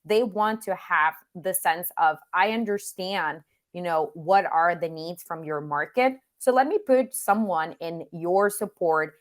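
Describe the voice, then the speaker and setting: A woman's voice: fairly low pitch, unhurried, few pauses. A woman from Mexico whose first language is Spanish, speaking English with a Mexican accent as an interview guest, earnest and reflective with frequent "you know" fillers. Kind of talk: virtual Q&A interview.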